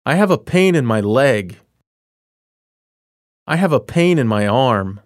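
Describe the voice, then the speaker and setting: A man reading scripted lesson dialogue and practice phrases in a plain, measured way. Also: mid-range voice, no echo, studio quality. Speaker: language lesson voice actor.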